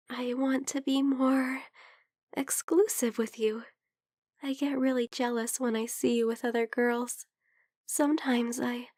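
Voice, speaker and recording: A woman playing a shy, lovestruck young female vampire in an intimate ASMR roleplay, speaking softly and hesitantly, often slipping into whispers. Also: a little high, no room sound, studio quality. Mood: bashful, confessing. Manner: hesitant, soft, breathy murmuring that slips into whispers.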